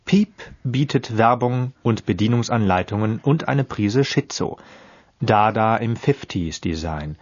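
A man delivers an experimental spoken-word piece in a dry, declamatory way. His tone turns without warning from flat instruction to sudden emphasis. The recording sounds dull and lo-fi. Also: lowish voice, measured pace. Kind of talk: experimental radio piece reading.

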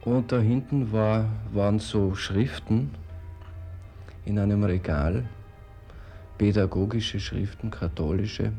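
A man's voice: fairly low pitch, slow, pauses often, warm tone, faint music behind. An older man telling a personal story in a hesitant, wry way. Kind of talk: personal anecdote in an interview.

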